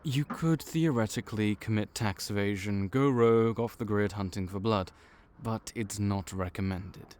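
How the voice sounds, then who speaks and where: A man's voice: fairly low pitch, moderate pace, hardly any pauses, a little mellow, natural voice. A man voicing a male vampire intake officer in an audio roleplay, matter-of-fact and wry as he walks through the paperwork.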